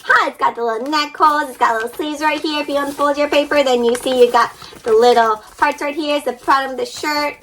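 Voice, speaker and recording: High-pitched woman, children's storybook reader. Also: fast talker, a little hiss or hum. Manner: animated, childlike wonder, exaggerated expression; addresses young listeners directly with questions and sound effects. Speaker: children's read-aloud storyteller. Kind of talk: interactive storybook reading and paper-folding instruction.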